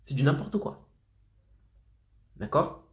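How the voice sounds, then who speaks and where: Insistent coach with a slight cold. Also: male voice, takes it slowly, reverberant. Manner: insistent, keeps checking in with "d'accord?" and "ok?". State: has a slight head cold. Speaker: marketing coach for freelancers. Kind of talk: motivational live stream talk.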